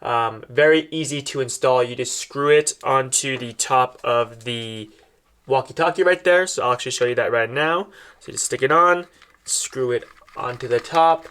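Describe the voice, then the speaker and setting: A man's voice: mid-range voice, moderate pace, a bit bright, clean tone. A man presenting a product in a casual, step-by-step unboxing style, explaining things directly to viewers as he demonstrates.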